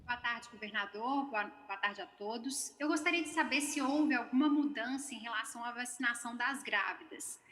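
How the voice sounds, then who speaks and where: A woman's voice: on the high side, normal speed, hardly any pauses, fairly bright tone. A woman journalist politely asking a question over a video call. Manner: polite, inquiring.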